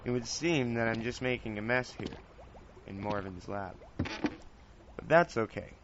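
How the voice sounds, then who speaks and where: A man's voice: medium pitch, expressive, measured pace, background noise, close to an everyday voice. A man playing a chatty apprentice wizard who explains his studies in a theatrical fantasy podcast. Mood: grumbling, distracted. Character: young wizard's apprentice, chatty, grumbling, eager amateur scholar. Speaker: host. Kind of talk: scripted fantasy podcast monologue and narration. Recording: dull lo-fi home recording, loud music. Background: music.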